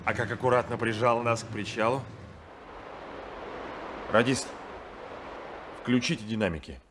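An actor playing a courteous, authoritative ship's captain who speaks in measured commands in a radio drama. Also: male voice, mid-range voice, fairly even intonation, natural voice. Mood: admiring, commanding. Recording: 1975 radio play with background noise.

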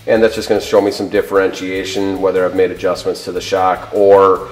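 A middle-aged man presents and demonstrates a product. His voice sits fairly low. The room adds a clear echo, and music plays in the recording. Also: flat delivery, fairly quick. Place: indoor workshop showroom. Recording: roomy echo, faint music behind.

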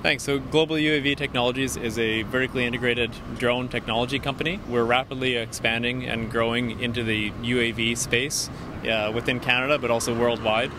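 A man in his thirties giving measured, businesslike explanations as an executive interviewed outdoors on a handheld microphone. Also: mid-range voice, fairly even intonation. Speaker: company CEO being interviewed. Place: outdoors on a busy city street. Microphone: handheld interview microphone held by interviewer. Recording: clear street and traffic noise.

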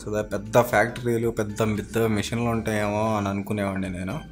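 A man's voice: fairly low pitch, flat delivery, brisk, faint music behind. A young man vlogging a factory tour, explaining each step to his viewers in a friendly way, recorded indoors in a small workshop. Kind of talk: casual vlog narration.

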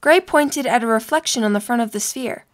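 A woman's voice: a little high, brisk. A woman narrating a scripted story for an audio drama.

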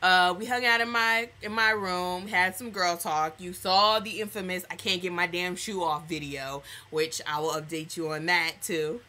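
Young American female vlogger, slightly low, punchy bright voice, sassy and animated storyteller, casual and slangy. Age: young adult. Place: small indoor room at home.

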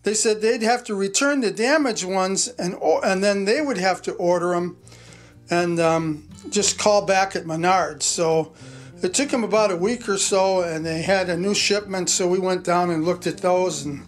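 A man's voice: high-pitched, moderate pace, faint music behind. A man casually retelling a story and explaining his do-it-yourself work, in a plain, rambling, folksy way. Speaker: vlog co-host, handyman husband.

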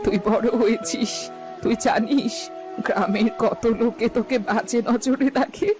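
A woman's voice: a little high, unhurried, over music, natural voice. A woman playing a poor, worried old village mother in a cartoon, pleading and lamenting. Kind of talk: Bengali fairy-tale cartoon dialogue.

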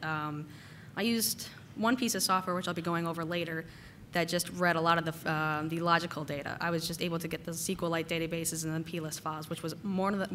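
A woman giving a casual technical conference talk, slightly hoarse from a cold and using lots of "uh" and "um" fillers. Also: fairly low pitch, fairly bright tone, slight background noise. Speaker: conference speaker. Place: conference hall.

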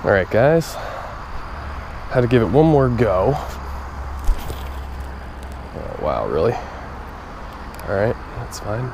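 A man's voice: on the low side, big pitch swings, takes it slowly, gravelly, long pauses, over music. A young man talking casually to his viewers while he fishes at a pond, recorded on an action camera. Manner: chatty, talks to viewers while fishing. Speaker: fishing vlogger. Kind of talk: casual outdoor commentary. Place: outdoors at a pond. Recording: outdoor noise from a body camera.

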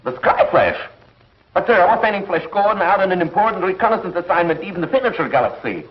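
An actor playing an agitated, dutiful space commissioner with emphatic, clipped delivery in 1950s television serial style. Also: male voice, high voice, very animated, quick pace. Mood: urgent, dutiful. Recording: muffled 1950s film soundtrack.

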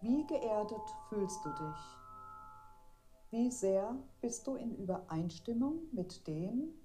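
A woman guiding a meditation in a gentle, deliberate, soothing manner. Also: medium pitch, expressive. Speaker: meditation guide. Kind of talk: guided meditation narration. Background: meditation music.

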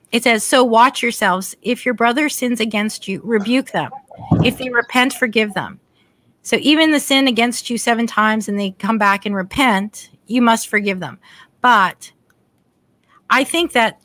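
A middle-aged woman hosts a casual online chat from home. Her voice is bright and a little high, picked up close by a desk mic with a dry sound. She talks chattily and agrees often. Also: no echo. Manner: chatty, warm affirmations, reads scripture aloud. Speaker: podcast host. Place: home room, indoors. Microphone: desk mic on video call.

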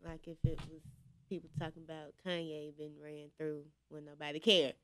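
A woman's voice: deep voice, level tone, normal speed, fairly bright tone, a little hiss or hum. A woman, a guest talking bluntly and challengingly in casual chat with men in a small studio. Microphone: boom-arm studio mic.

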